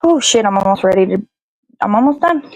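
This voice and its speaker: A woman's voice: mid-range voice, animated, brisk, a little mellow, no room sound. A woman hosting a casual puppet show livestream, chatting in an informal, rambling way.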